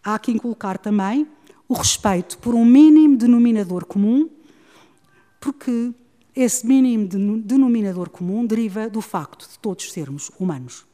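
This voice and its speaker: A middle-aged woman with a European Portuguese accent, giving a measured, academic talk on a book about education. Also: on the high side, warm tone. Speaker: speaker presenting a book on education. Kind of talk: formal talk followed by discussion. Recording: long reverb of a hall.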